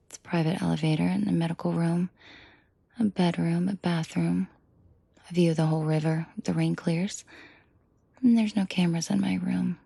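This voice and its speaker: A woman playing a commanding, protective and seductive mafia boss in an intimate audio roleplay, speaking in a breathy, controlled murmur that often drops to a half-whisper. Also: lowish voice, dark tone, no echo, full character voice. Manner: slow, deliberate, controlled murmur addressed closely to listener.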